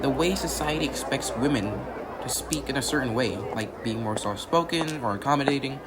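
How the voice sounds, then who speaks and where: A man acts as an earnest, academically minded male student in a radio drama. He explains patiently in a conversational way, often tagging points with a check for agreement. His medium-pitched voice sits over clear ambient noise. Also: brisk, over a noisy crowd, close to an everyday voice. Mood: earnest, sympathetic. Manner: explanatory, checks with "right?" and "you know". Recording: noisy outdoor ambience.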